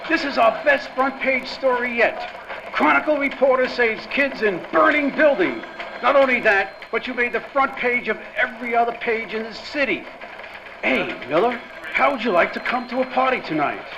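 A man's voice: extremely high pitch, animated, moderate pace, vocal fry, heavily acted. A man playing a hearty, teasing newspaper boss in a 1940s-style radio drama. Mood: jovial. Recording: dull, noisy live radio-play recording.

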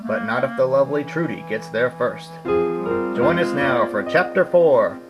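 An actor playing a dramatic announcer who narrates a 1940s-style radio serial. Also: male voice, mid-range voice, lively intonation, unhurried, over loud music, natural voice, low-quality recording.

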